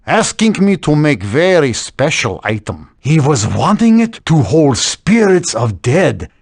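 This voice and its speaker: A slow, old man. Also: fairly high pitch, big pitch swings, vocal fry, slightly rough, no room sound, studio quality, full character voice. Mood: explanatory. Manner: deliberate, article-dropping broken English. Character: gruff foreign old man, learned craftsman of magic. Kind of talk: video game voice acting.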